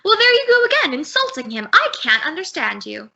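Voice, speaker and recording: A woman acts out a dramatic, indignant actress in a radio comedy. The bright voice is high and very lively, on a slightly dull recording. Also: a little fast, gravelly, full character voice. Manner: theatrical, reproachful. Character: Veronica, dramatic, indignant actress defending her director. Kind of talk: old-time radio comedy acting.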